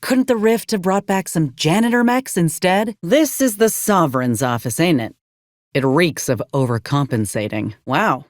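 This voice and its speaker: A woman's voice: fairly low pitch, normal speed, no echo, lightly acted. An actress voicing a plain-spoken, loyal young woman fighter in a video game, with a folksy, wry manner. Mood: sardonic. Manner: folksy, dry-witted. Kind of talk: video game companion voice lines.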